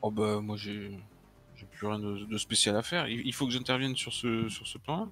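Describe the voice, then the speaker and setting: A man playing a tabletop role-playing game, speaking haltingly and often repeating his words. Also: lowish voice, fairly even intonation, a bit bright, faint music behind. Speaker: player. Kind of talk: casual role-play talk.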